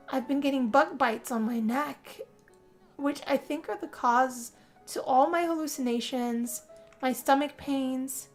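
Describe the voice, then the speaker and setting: A woman narrating a role-play story in first person as a lovestruck, weary young heroine, in a theatrical, sighing manner. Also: sits high. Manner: dramatic, breathy sighing delivery. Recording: music under the voice.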